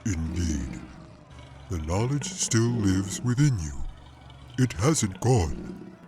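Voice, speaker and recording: Big storybook bear, growling, deep, slow, solemn, gruff, protective, formal phrasing. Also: male voice, sing-song, heavily acted. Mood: solemn, reverent. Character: large devout male bear, grave, protective, gruff. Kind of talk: fantasy audiobook character voice.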